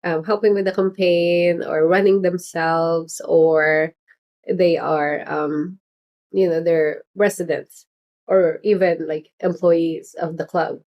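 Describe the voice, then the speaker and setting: A woman's voice: fairly low pitch, fairly even intonation, slow, darkish tone. A middle-aged woman hosting a casual livestream as a political candidate, talking in a chatty, rambling way with lots of fillers and little laughs. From Philippines heritage.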